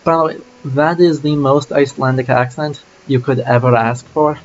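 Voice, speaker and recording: A man's voice: mid-range voice, fairly even intonation, moderate pace, lo-fi sound, a little hiss or hum. A man streaming a game, musing aloud casually about his choices as he plays. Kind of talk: let's play commentary.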